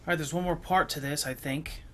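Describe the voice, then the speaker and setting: A man streaming a video game with casual commentary and low-key reactions to himself, half muttered. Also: steady tone, fairly quick. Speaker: gaming streamer. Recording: game music behind. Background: video game music.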